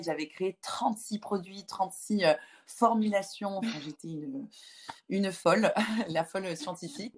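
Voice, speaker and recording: Female, 20s, slightly low pitch. Founder-guest in a conversational interview, halting with frequent filled pauses. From Lyon, France.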